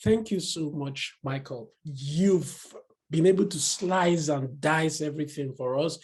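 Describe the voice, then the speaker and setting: A woman's voice: low voice, a little slow, a little mellow, husky. A woman hosting an online investment club interview, earnest and encouraging. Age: 40s. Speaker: host.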